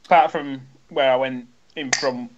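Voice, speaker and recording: A man's voice: medium pitch, fairly even intonation, normal speed, highs cut off, a crowd in the background. A man, co-hosting a podcast in casual, self-mocking chat. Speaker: podcast co-host.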